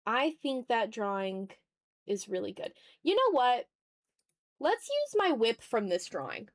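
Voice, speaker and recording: A woman's voice: a little high, very animated, unhurried, no echo. A woman streamer talking casually and informally to her chat while she draws, in a rambling, off-the-cuff way. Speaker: art streamer and ASMR YouTuber hosting a livestream.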